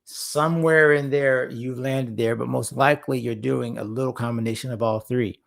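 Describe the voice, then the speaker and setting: Slightly hoarse, gravelly-voiced male host. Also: mid-range voice, fairly even intonation, moderate pace. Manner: earnest, repeats words for emphasis, rambling asides. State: slightly hoarse after a long session. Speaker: host and founder of a faith-focused creative platform. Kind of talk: casual livestream talk to viewers.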